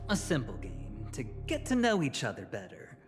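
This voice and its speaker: Music plays behind a suave young gambler in a video game, his voice ringing with long reverb. He is smug and scheming, drawing out his teasing offers with theatrical, highly animated intonation. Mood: playful, teasing. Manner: smug, teasing, deliberate with dramatic pauses. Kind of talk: video game dramatic dialogue. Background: clear background music.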